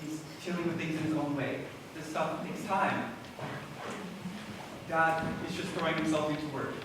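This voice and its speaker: A man's voice: on the high side, fairly even intonation, moderate pace, close to an everyday voice. A young man playing a guarded, dutiful teenage son in an amateur school stage play. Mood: patient, subdued. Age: teen or young adult. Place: school stage, recorded from far off. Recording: echoey stage, far mic, noisy.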